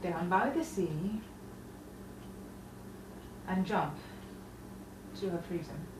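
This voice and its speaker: Actress playing a slow, solemn sister. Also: female voice, fairly low pitch. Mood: grave, sorrowful. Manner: measured, grave, pausing theatrical delivery. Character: solemn, burdened sister bearing tragic news. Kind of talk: 1950s-style romantic drama acting. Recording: roomy, distant, clearly noisy stage sound.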